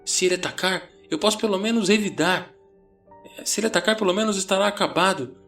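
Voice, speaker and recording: Man acting a panicked, high-strung teenage boy. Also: expressive, quick pace, fairly bright tone, faint music behind. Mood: exasperated. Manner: stammering, ranting. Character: panicked, whiny sixteen-year-old boy, frantic and exasperated. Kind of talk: horror audio story character voice.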